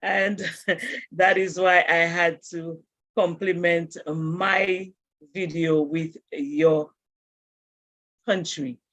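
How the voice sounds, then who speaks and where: A woman's voice: on the low side, fairly even intonation, very slow, long pauses, fairly bright tone, dry recording. A woman hosting an online interview and greeting her guest and audience over a video call. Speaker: interview host. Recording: dull dry video-call audio.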